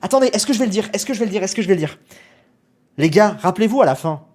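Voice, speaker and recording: A young man on a live stream reacts to his own old video, laughing at himself. his voice swings through a lively range, and he breaks into loud exclamations as he goes. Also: high-pitched, rapid-fire. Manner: animated, self-mocking, exclamatory.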